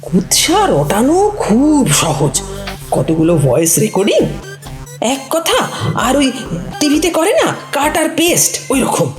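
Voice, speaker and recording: A woman's voice: sits high, slow pace, echoey room, faint music behind, exaggerated voice. A woman acting a sly, chatty friend in a comic audio play, with a teasing, recitation-like delivery. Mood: sly advising. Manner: animated, teasing, breaks into mock recitation. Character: sly, chatty woman coaching her friend to fake being a poet.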